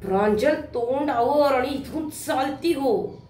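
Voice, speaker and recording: Woman playing middle-aged male businessman, crude bully, unhurried theatrical delivery, distant echoing stage recording. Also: high-pitched, dark tone. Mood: flustered, angry. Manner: leering, swaggering, colloquial. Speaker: stage actor in a one-act play competition. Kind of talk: theatrical stage monologue acting. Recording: echoey hall, distant microphone.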